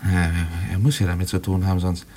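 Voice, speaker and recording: Man acting earnest investigator, low voice, muttering, impatient, German radio play, faint background noise. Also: flat delivery, quick pace, darkish tone, lightly acted. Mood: puzzled, intent. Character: earnest, impatient male investigator digging through old files.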